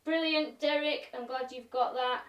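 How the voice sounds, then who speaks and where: A woman with a broad Yorkshire accent and a fairly high voice, chatting through a live demo in a cheerful, self-mocking way. She is recorded in an echoey workshop from some way off the mic. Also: steady tone, moderate pace, a bit bright, distant mic. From Yorkshire, England. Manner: chatty, self-deprecating, talks through what she is doing. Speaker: woodturner hosting a live demo. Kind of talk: chatty live-stream demonstration. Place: home workshop.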